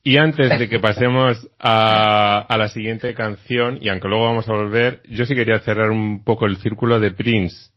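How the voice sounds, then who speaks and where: A man's voice: on the low side, steady tone, moderate pace, hardly any pauses, lo-fi sound. A man co-hosting a radio show, chatting casually over a video call. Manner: chatty, apologetic asides. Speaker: radio co-host.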